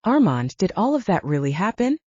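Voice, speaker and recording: Woman playing an earnest, expressive young heroine. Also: mid-range voice, brisk, dry recording, dull audio. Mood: troubled. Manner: questioning, earnest. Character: anxious, curious, lovestruck young heroine.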